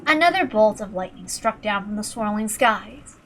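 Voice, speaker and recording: A woman's voice: medium pitch, animated, normal speed, slight background noise. A woman reading scripted fiction aloud as narrator, in a dramatic storytelling style. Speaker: amateur reader and narrator of a visual novel.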